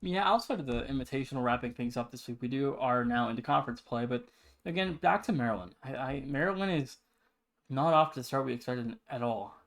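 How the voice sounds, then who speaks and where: A man's voice: medium pitch, expressive, brisk, fairly bright tone. A man co-hosting a sports podcast, analyzing results and past seasons in an emphatic, opinionated way.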